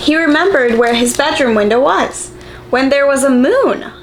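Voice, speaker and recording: Lively, high-voiced woman reading to children. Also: normal speed, a little hiss or hum, low-quality recording. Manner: expressive, encouraging, simple preschool-teacher delivery with gasps and exclamations. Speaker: preschool teacher reading picture books aloud. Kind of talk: children's story read-aloud with questions to young viewers.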